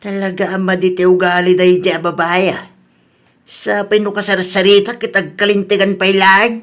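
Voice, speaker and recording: A man performs a fretful, scolding village husband with a very high, sharp voice. He has an Ilocano accent and plays it as radio comedy drama. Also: monotone, normal speed. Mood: exasperated.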